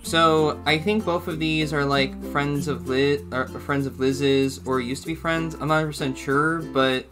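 A man's voice: fairly high pitch, fairly even intonation, moderate pace, few pauses, music in the background. A young man hosting a casual reaction video from a small room, rambling and slipping in jokey asides. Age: young adult.

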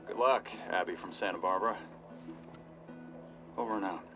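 Low-voiced radio operator over guitar. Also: male voice, normal speed. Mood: welcoming. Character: calm, welcoming male resistance radio operator. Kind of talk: naturalistic game cutscene acting. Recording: guitar music behind the voice.

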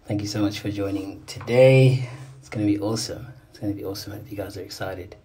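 A man's voice: lowish voice, fast talker, mellow, breathy edge. Upbeat young man hosting a live call. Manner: upbeat, enthusiastic, many fillers. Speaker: host. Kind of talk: casual livestream interview. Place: small indoor room. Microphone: phone front camera, video call.

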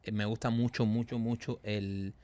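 A man in his thirties from Cuba with a Cuban accent, a guest comedian in a casual podcast chat who tells quick, animated stories and acts out voices. He talks into a desk mic in a dark studio. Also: fairly low pitch. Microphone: desk mic on stand.

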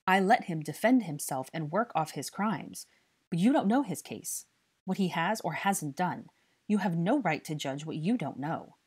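Woman playing a stern, exasperated young male investigator, husky. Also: fairly low pitch, expressive, moderate pace. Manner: forceful, exasperated, interrogating.

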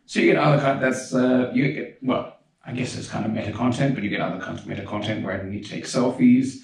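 A young man giving a keynote talk as a pianist, speaking in a relaxed, chatty way with many fillers and restarts, on a handheld mic in a large dark concert hall. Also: mid-range voice, quick pace, creaky. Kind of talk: conference lecture with video examples. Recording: roomy hall sound, mic heard from a distance.

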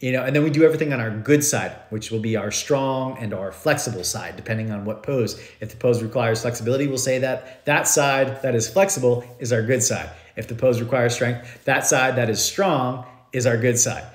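A man in his thirties explains yoga ideas to a live audience from his living room. He talks animatedly and circles back over his own phrases, with his voice at an ordinary middle pitch. The room is bare and echoey, picked up on a phone camera held close. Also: a little fast, hardly any pauses. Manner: animated, gestures a lot, loose and repetitive phrasing. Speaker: yoga teacher. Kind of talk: live-stream teaching talk. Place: living room at home, indoors.

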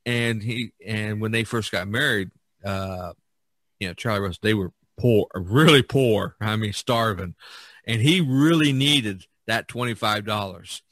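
Male podcast host, forceful bright tone, close dry sound, casual halting storyteller. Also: on the low side, no echo.

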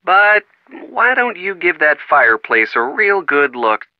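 An actor playing an affable, wry young American boyfriend in a video game, with a conversational delivery. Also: male voice, a little high, very animated, measured pace, dry recording, phone-quality sound. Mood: wry, reassuring. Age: young adult. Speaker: boyfriend on the phone.

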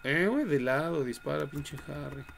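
A young man with a Mexican accent commentates a horror game live in slangy, profane bursts. His voice has a gravelly fry in it. The home livestream recording is noisy, with game ambience behind him. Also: fairly high pitch, lively intonation, moderate pace. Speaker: game streamer.

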